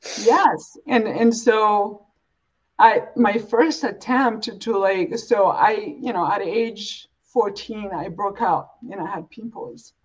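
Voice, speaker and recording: A woman's voice: mid-range voice, slow, slightly rough. A woman from Africa, speaking earnestly and emphatically as an interview guest, building points in repeated phrases. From East Africa, born and raised in Uganda. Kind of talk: reflective, earnest interview talk. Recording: dull, lo-fi audio.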